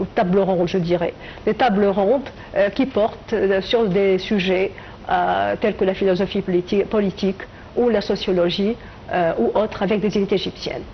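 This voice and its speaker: Middle-aged tunisian-born woman guest, slow thoughtful answers full of pauses, dull audio with a bit of hiss. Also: medium pitch. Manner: hesitant, reflective, many filler pauses. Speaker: writer and translator guest. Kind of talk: TV interview answers.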